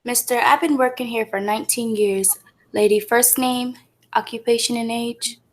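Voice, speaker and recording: A woman's voice: fairly high pitch, steady tone, normal speed, close to an everyday voice. A woman playing a brusque, world-weary license clerk in a 1940s-style radio play, clipped and matter-of-fact. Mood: brisk, unimpressed.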